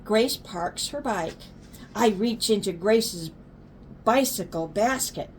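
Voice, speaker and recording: A woman's voice: medium pitch, animated, slow pace, a little hiss or hum. An older woman, a grandmother, reading a children's story aloud expressively and explaining words to young listeners.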